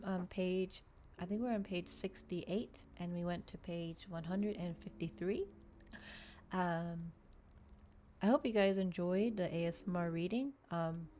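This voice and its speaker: A woman's voice: lowish voice, warm tone, a little hiss or hum. A woman streamer doing an ASMR stream, reading a book and chatting casually to listeners. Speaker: VTuber streamer, reader.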